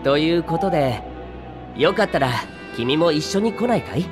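A man's voice: a little high, expressive, moderate pace, over music, close to an everyday voice. A man voicing an easygoing, courteous young robed mage in a video game, breezy and friendly. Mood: friendly, apologetic. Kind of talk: Japanese video game voice acting.

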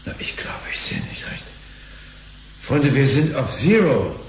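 Anxious excitable bank guy, mid voice jumping around, radio play, echoey. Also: mid-range voice, unhurried, a little hiss or hum. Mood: stunned. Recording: roomy echo.

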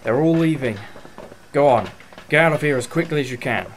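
A man's voice: medium pitch, brisk, slight background noise, lightly acted. A man playing a protective time-travelling hero. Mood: calm command. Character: heroic male time-traveller, protective, urgent and decisive. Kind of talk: fan audio drama acting.

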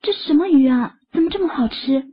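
A woman's voice: high voice, lively intonation, fairly quick. A woman voicing a frank, teasing young woman in an audio drama, with a blunt way of talking. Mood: eager. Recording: dull lo-fi audio.

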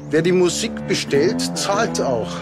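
A man's voice: fairly high pitch, sing-song, measured pace, over loud music. An actor playing a blunt, insistent male taxi driver in a TV drama. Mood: matter-of-fact.

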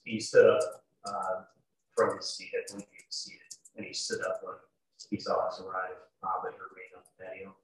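Distant echoey courtroom audio, young man, deputy witness, monotone, halting, matter-of-fact formal testimony. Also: sits low. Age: young adult. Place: courtroom, heard over video call. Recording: echoey courtroom, distant mic, faint noise.

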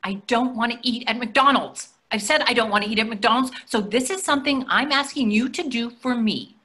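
A woman's voice: on the high side, a little fast, bright, forceful tone. A woman in her sixties playing a placating but insistent wife in a readers theatre comedy over a video call.

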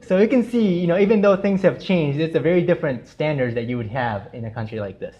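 A man's voice: a little high, animated, fast talker, mellow, highs cut off. Young Japanese-born man lecturing. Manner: friendly, chatty, many fillers. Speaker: artist giving a gallery lecture. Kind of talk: casual presentation to students. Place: university lecture room with projector screen.

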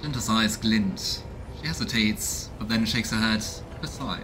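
A man's voice: lowish voice, normal speed. A man in his thirties hosting a let's play, musing casually and reading game text aloud. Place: small home room indoors. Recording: noisy, game ambience behind voice. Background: video game ambience.

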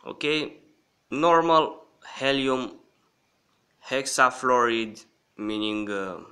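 Slow-talking man with a bright, sharp voice. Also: medium pitch, clear, resonant tone. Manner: halting, repeatedly checking in with "okay". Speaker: host of an app review channel. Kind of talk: casual screen-recorded app walkthrough.

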